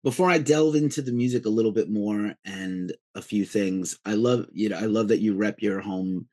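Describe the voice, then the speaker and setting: A fairly low-voiced male host runs a casual music interview over a call. He is chatty and keen, and he drifts into friendly tangents before he gets to his questions. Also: normal speed, darkish tone. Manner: chatty and enthusiastic, with tangents and laughs.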